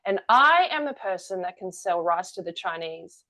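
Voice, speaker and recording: A woman's voice: fairly low pitch, animated, normal speed, bright, forceful tone. A woman, a guest telling her story candidly in an interview. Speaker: guest, energy-work founder. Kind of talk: conversational interview.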